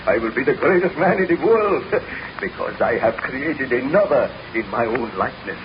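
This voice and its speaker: An actor playing an obsessed, exhausted baron scientist with grandiose, deliberate delivery in an old radio drama. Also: male voice, mid-range voice, sing-song, lightly acted. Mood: manic ambition. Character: obsessed, exhausted baron scientist recounting his dark story. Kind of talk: 1930s radio drama acting. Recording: noisy, muffled 1930s radio broadcast.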